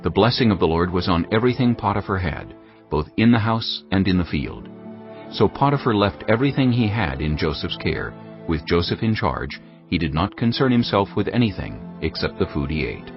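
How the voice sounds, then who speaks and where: A man giving solemn, measured scripted narration for a dramatized audio Bible. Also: low voice, very animated. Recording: muffled audio with music underneath. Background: background music.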